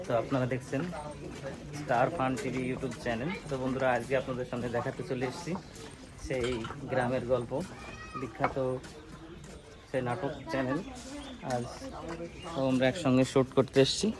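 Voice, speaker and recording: A man gives casual behind-the-scenes commentary straight to his viewers. His mid-pitched voice stays fairly flat and he repeats himself as he goes. Clear chatter of people sits behind him. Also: normal speed, long pauses. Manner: addresses viewers directly, repeating phrases. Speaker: vlog host.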